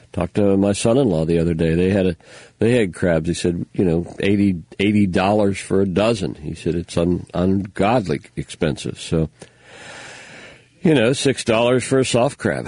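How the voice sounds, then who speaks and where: A man's voice: deep voice, a little mellow, husky, no room sound. An older man hosting a local radio show, talking casually in a folksy, rambling way with lots of fillers, sounding stuffy. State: stuffy nose. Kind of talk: casual on-air talk, reading news items.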